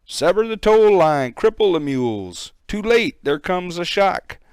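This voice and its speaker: Frantic storybook boatman, acted by a male reader: urgent nautical hollers, raised pitch, clear and dry. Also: very animated, a little slow, no echo. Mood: frantic, alarmed. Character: frantic boat captain and crew shouting storm orders.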